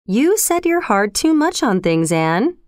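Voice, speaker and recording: A stern, sensible storybook guardian, as a woman reader plays her. The woman's voice is smooth and clear, firm and measured, in a very dry recording. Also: fairly high pitch, sing-song, no echo. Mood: resigned. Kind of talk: storybook character voice.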